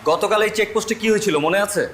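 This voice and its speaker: A man's voice: high-pitched, fast talker, a bit bright, a little hiss or hum. A man playing a righteous, stern young army captain in a story, with a firm, commanding manner.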